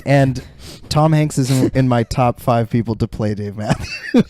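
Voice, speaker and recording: A man's voice: medium pitch, moderate pace, very noisy. A man co-hosting a podcast, chatting casually with informal, joking banter. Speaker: podcast co-host, musician. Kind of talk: casual chat.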